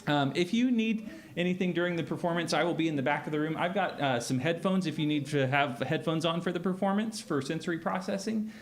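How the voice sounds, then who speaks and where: A man's voice: fairly high pitch, a little fast. A man hosting a library event, giving an informal welcome and housekeeping announcements.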